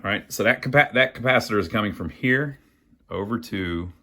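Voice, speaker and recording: A man's voice: lowish voice, brisk, sharp tone. A man hosting a casual hands-on repair tutorial, thinking aloud in a folksy way as he works. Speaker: host of an amateur radio repair channel.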